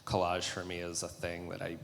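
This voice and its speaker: A man's voice: low voice, monotone, fairly quick, creaky, fairly bright tone. A man giving an informal, conversational artist talk with slides, sounding keen on the work. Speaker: artist on a panel. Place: theatre, live panel event. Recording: echoey hall with long reverb.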